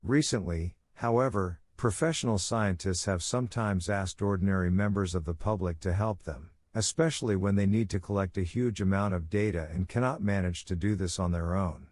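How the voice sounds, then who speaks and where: A man reading scripted academic passages aloud in a measured, careful, formal way. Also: low voice, darkish tone, no room sound. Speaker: reader of model read-aloud test passages.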